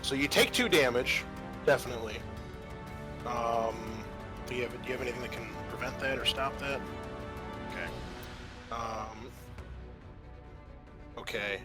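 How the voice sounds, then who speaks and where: A man's voice: mid-range voice, measured pace, pauses often. A man narrating a tabletop roleplaying game as game master, informal and thinking aloud as he goes. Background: music.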